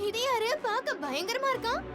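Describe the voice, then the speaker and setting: A woman's voice: extremely high pitch, sing-song, fairly quick. A woman voicing a chirpy, curious, kind little girl in a children's cartoon, speaking expressively. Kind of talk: children's cartoon dub acting. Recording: music under the voice.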